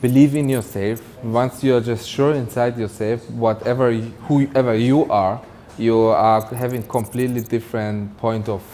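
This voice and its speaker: A man's voice: medium pitch, steady tone, moderate pace, mellow. A man from Germany giving earnest, motivational personal talk as an interview guest, often repeating his phrases. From Germany, of Iranian background. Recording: roomy echo with faint noise.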